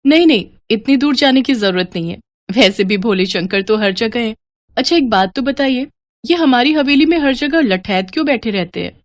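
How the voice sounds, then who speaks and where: A woman voicing a sweet, coaxing young bride and quietly scheming daughter-in-law in an animated moral story. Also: on the high side, normal speed, voice pushed up, lightly acted. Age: young adult.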